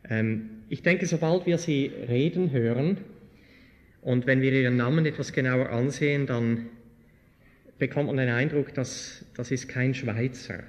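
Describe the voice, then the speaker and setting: A man's voice: medium pitch, a little slow. A man introducing a guest speaker at a church evening, asking friendly, loosely improvised questions. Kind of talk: live interview in a church. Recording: long hall reverb.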